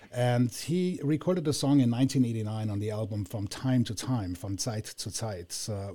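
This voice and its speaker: Austrian man, halting podcast co-host. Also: on the low side, darkish tone. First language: German. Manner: halting, many uh fillers and searches for words. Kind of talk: casual podcast chat.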